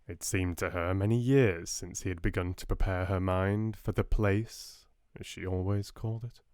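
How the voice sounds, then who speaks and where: A soft-spoken man with a British accent, narrating an audiobook in a measured, restful bedtime style. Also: low voice, steady tone, clear, resonant tone, dry recording, studio quality.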